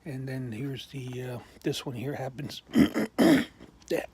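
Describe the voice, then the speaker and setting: A man slowly showing off his toy collection in a casual video, pausing often with ums and clearing his throat while losing his voice. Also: medium pitch, animated, darkish tone. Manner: slow, halting, many ums and uhs, frequent throat clearing. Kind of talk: casual show-and-tell.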